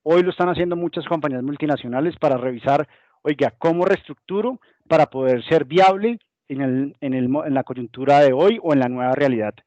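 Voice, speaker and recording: Colombian man presenting, slightly high voice. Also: brisk, darkish tone, dry recording, lo-fi sound. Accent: Colombian Spanish. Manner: explanatory, acts out hypothetical company voices. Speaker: guest presenter. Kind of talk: business webinar presentation.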